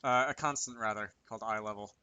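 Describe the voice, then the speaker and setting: A man's voice: on the low side, fast, bright, forceful tone, highs cut off, a little hiss or hum. A man giving casual, unscripted commentary over a screen recording, hesitant and thinking aloud as he works. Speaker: game developer hosting a tutorial series.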